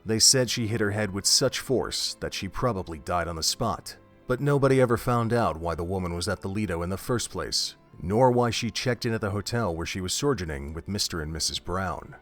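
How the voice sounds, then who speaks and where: A man narrating a scripted story in a measured, steady way. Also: low voice. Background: faint music.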